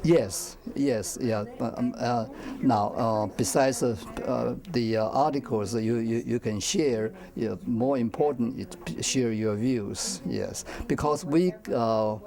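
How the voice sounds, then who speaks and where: An older man, speaking haltingly with repeated words and grammar in an interview. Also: mid-range voice, vocal fry, a little mellow. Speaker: senior center discussion group leader. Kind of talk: casual interview answers.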